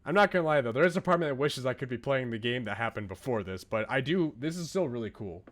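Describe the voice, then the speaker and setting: A man livestreaming a visual novel, commenting and reading aloud in an expressive way. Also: medium pitch, quick pace. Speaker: game streamer.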